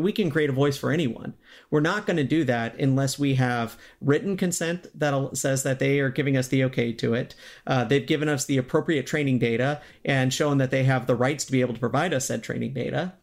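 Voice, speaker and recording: A man's voice: medium pitch, a little fast. A man speaking as an interview guest, explaining things in an earnest, conversational way. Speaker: podcast guest, synthetic voice company executive.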